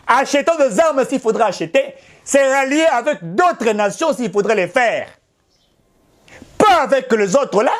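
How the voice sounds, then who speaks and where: Congolese man, middle-aged, Congolese French accent, fervent commentator, high animated delivery. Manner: emphatic and insistent, with repetition and appeals to the audience. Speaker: political commentator. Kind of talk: impassioned direct-to-camera address.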